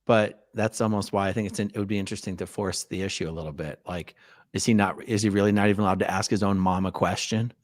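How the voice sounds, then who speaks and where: A man hosting a casual interview, probing and halting, repeating words for emphasis as he works toward his point. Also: deep voice, fairly even intonation, dry recording, studio quality. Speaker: host interviewing a guest on a recorded call.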